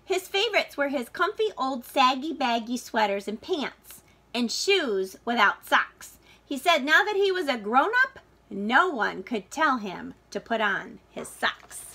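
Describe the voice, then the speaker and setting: A woman with a high, very lively voice reads a children's storybook aloud in the manner of a teacher. Her tone is bright and clear-cut, and she leans on important words, stretching them out for young listeners. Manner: expressive, deliberate emphasis with drawn-out key words. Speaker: teacher reading a picture book aloud.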